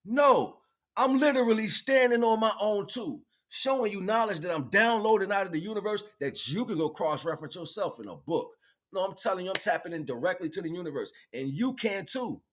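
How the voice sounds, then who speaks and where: A man's voice: high voice, lively intonation, a little fast, fairly bright tone. A man giving an improvised spiritual, motivational livestream talk in an emphatic, preachy way, repeating phrases for effect.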